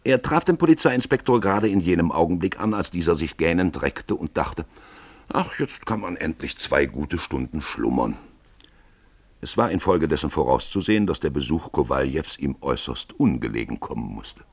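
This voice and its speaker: A man narrating a radio drama from a literary script in an expressive, theatrical storytelling manner. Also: rumbling low, moderate pace, dry recording.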